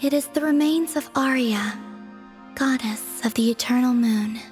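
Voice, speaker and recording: A woman voicing an aloof, serene young moon goddess in a game cutscene, speaking softly and formally in a measured way. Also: high voice, faint music behind. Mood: serene, solemn. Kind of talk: video game cutscene voice acting.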